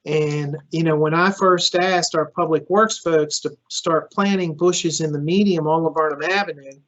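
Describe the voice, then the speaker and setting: A man's voice: a little high, fairly even intonation, normal speed, a bit bright. Earnest man, Greek native, dull audio. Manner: earnest, repeats words at starts of phrases. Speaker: county manager. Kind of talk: public forum answering questions.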